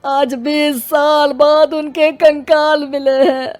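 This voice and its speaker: A woman's voice: high voice, flat delivery, takes it slowly, darkish tone. A woman playing a grieving elderly male village headman, mournful and pleading, in a story. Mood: anguished. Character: grieving elderly village headman who lost his son.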